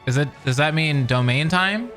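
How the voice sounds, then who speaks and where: A young man commenting casually and excitedly while playing a game. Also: on the high side, normal speed. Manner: excitable, chatty, says dude. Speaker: gaming streamer. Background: game music.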